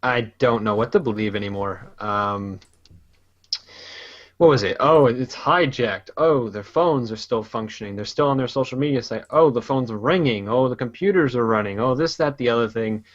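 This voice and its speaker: Man, podcast host, casual chat, rambling, laughs often, middle pitch, dull lo-fi audio. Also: moderate pace. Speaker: podcast host and gaming YouTuber.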